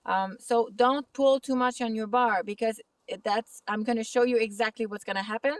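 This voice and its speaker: Woman with french canadian accent, chatty lesson outside, highish voice, distant mic. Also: fairly even intonation, a little hiss or hum. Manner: casual, chatty, slightly halting English. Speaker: kitesurfing instructor. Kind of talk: outdoor tutorial vlog. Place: snowy lake outdoors in winter.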